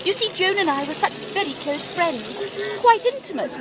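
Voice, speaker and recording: A woman's voice: very high voice, sing-song, moderate pace, noisy background. An actress playing an effusive, gossipy, affected British society woman in a theatrical radio drama. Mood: gushing, confiding.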